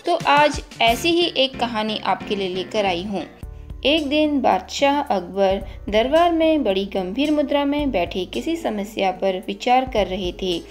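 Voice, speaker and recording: Female narrator for scripted kids' folk tales, voice on the higher side, light music underneath. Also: lively intonation, measured pace. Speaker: storyteller and channel host. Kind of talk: scripted children's story narration. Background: background music.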